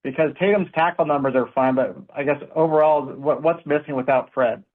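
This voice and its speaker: A man's voice: fairly high pitch, fairly quick. Male reporter on a tinny phone line. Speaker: sports reporter. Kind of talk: press questions. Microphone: phone conference call.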